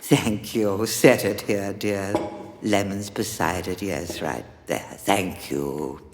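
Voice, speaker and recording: An actress playing a haughty, condescending chancellor with a pompous, theatrical delivery. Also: female voice, a bass voice, slow pace, a little hiss or hum, exaggerated voice. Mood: fussy, condescending. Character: haughty, imperious female chancellor, fussy and condescending. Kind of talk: audio drama acting. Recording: echoey hall with long reverb.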